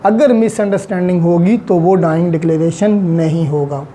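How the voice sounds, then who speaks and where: A man's voice: high voice, normal speed, mellow, a little hiss or hum. A man giving an online law lecture, patiently explaining step by step with examples and English legal terms. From Pakistan.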